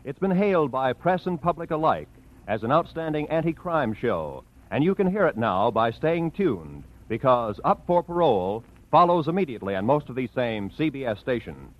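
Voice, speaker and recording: A man's voice: mid-range voice, very animated, normal speed. An American man announcing in a formal, polished, scripted 1940s radio style. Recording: slightly muffled vintage radio broadcast.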